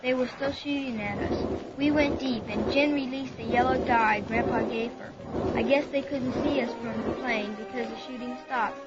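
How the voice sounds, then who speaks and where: A woman's voice: a little high, steady tone, normal speed, natural voice. A woman playing an earnest, frightened young boy who narrates an adventure story dramatically. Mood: suspenseful. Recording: dull lo-fi recording, music behind.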